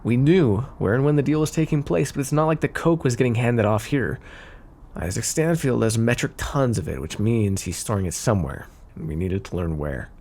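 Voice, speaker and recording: A man's voice: mid-range voice, fairly quick, a little mellow. A man playing a smug, flirty, scheming mafia boss in an ASMR roleplay, teasing and theatrical. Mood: persuasive, confiding. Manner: teasing, smooth-talking, theatrical. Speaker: voice actor in audio roleplay. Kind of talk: ASMR roleplay acting, addressed to listener.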